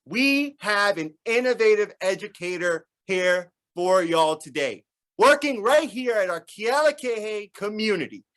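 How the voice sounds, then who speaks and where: This is an upbeat event host who talks playfully and pumps up the crowd. The voice sits at an ordinary pitch. It was recorded in a school library. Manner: lively, playful, hypes the audience.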